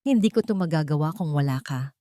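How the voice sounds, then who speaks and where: A woman's voice: deep voice, animated, fast, warm tone, dry recording, studio quality. A woman voicing an intense, earnest young rich man, the hero of an audio love story. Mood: tender, grateful.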